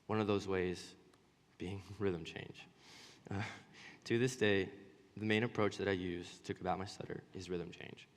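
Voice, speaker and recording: Deep-voiced young man with a stutter. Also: fairly even intonation. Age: young adult. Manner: stutters, with halting pauses and repeated words. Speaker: student presenter. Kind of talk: personal speech on stage. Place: stage in a large hall. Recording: echoing hall with long reverb.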